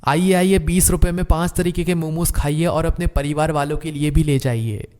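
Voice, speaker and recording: A man playing a greedy, boastful young son with a glib, persuasive manner in an animated moral story. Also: a little high, moderate pace, natural voice. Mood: hawking.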